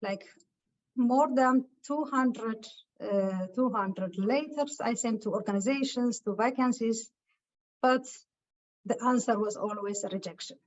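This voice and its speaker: A woman from Ethiopia giving a webinar talk over a video call, speaking haltingly with many filler sounds. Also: a little high. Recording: muffled lo-fi call audio.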